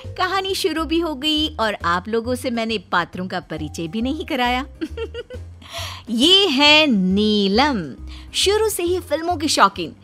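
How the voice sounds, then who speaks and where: A woman's voice: high-pitched, a bit bright. A woman narrating a scripted comic story, chatting directly to her listeners. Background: music.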